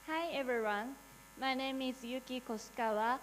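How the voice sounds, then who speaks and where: A woman in her twenties with a slightly high voice gives a short, even-toned introduction. She sounds distant, with echo and a faint hiss. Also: normal speed. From Japan. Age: 20s. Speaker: pageant contestant.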